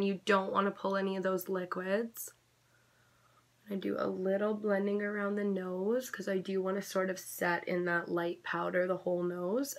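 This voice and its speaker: A young woman giving a casual makeup tutorial in a chatty, gentle instructional way, her voice a little off because she is coming down with something. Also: mid-range voice. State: slightly sick, voice a bit off. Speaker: makeup vlogger.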